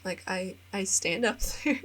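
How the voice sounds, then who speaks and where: Young american woman, 20s, casual vlog voice-over, rambling, lots of "like", self-deprecating laughs, mid-pitched voice. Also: a little slow, a bit bright, rough recording. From United States. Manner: chatty, rambling, many filler likes, laughs at herself. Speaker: vlogger and English teacher in Japan.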